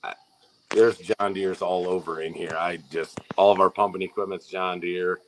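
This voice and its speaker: A man's voice: deep voice, little pitch movement, normal speed, a little hiss or hum, rough recording. A laid-back man chatting casually as a guest about driving his pulling tractor, with dry humor. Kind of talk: casual chat.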